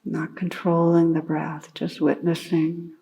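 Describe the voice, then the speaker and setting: A woman meditation teacher leading a guided meditation in a calm, unhurried way, gently instructing with long pauses. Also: deep voice, creaky, low-quality recording.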